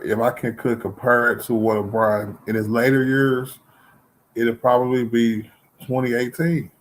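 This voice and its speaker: A man hosting a live sports stream, laid-back and full of slang, casually chatting about basketball. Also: lowish voice. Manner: laid-back slang, calls people dog and bro.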